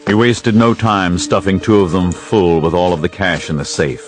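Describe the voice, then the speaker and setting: Deep-voiced male narrator for an audio drama, music under. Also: fairly even intonation, moderate pace.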